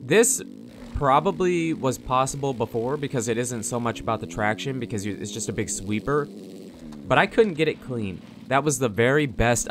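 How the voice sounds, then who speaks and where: A man with a medium-pitched voice gives relaxed, casual gameplay commentary as the host of a gaming video. His voice sits over clearly audible motorbike engine noise from the game.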